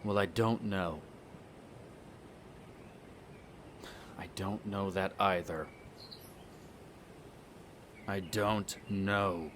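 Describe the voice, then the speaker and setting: A man playing a smug, bitter vampire noble, the eldest son, in an audio roleplay, speaking in a haughty, sardonic way with drawn-out theatrical phrasing. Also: low voice, steady tone, fairly bright tone, slight background noise. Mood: irritated, curt. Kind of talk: scripted audio roleplay acting addressed to the listener.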